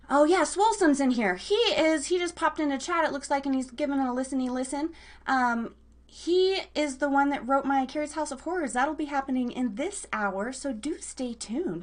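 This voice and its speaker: High-voiced American woman hosting casually. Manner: bubbly, playful word coinages. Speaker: radio show host. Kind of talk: casual live podcast hosting.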